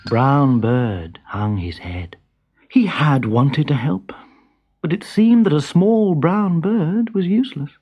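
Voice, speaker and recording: A storyteller giving an expressive narration of a children's storybook. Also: medium pitch, slow pace, muffled recording, faint music behind.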